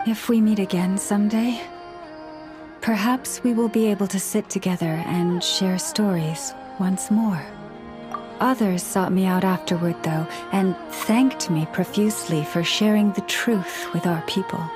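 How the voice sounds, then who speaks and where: Game role: actress as tall, solemn priestess leader, mid-pitched female voice, slow measured formal delivery. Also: fairly even intonation, natural voice. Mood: earnest, calm. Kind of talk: video game voice acting, formal speech. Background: background music.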